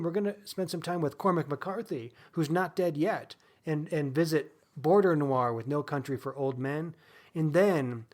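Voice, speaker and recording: A man co-hosting a podcast, discussing books casually with an informal, wry manner. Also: fairly high pitch. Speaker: podcast co-host. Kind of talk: casual book discussion and announcements.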